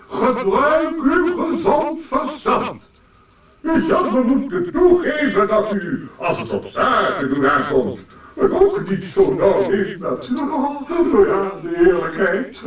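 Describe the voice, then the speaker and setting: Casting: pompous public official, slow solemn speechmaking, sweeping pitch, mock political address. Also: male voice, very echoey, distant mic, exaggerated voice, low-quality recording. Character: pompous official making solemn televised appeal to citizens.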